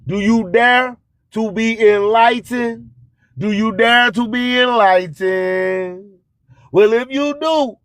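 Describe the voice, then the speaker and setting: Guy radio host, high voice, talks real slow n emphatic like preaching, super dry sound. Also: no room sound. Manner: preachy, emphatic, repeats catchphrases. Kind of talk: slow spoken-word motivational monologue.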